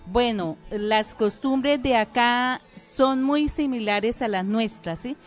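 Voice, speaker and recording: Colombian lady, talks real slow, firm, soft music under. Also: fairly high pitch. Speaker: guest. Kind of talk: conversational interview answers.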